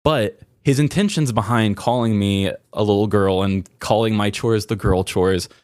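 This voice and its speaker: A man's voice: lowish voice, moderate pace, darkish tone, dry recording. A man talking informally and introspectively, as a podcast host in a candid personal conversation. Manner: introspective, informal, occasional swearing.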